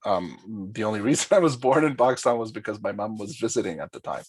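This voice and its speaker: Young man from Dubai, podcast guest. Lowish voice, dry, close sound. Explains his points and keeps checking in. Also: lively intonation, quick pace, husky, no echo. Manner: explanatory, often ends points with "right?". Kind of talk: casual conversational interview.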